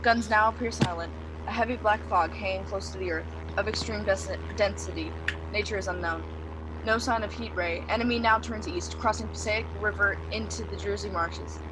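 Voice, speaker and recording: A woman's voice: mid-range voice, normal speed, noisy background, close to an everyday voice. A woman playing a grave military bomber pilot, giving terse radio reports in a radio play. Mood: grave, controlled. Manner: terse, telegraphic. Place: outdoors beside a wooden building.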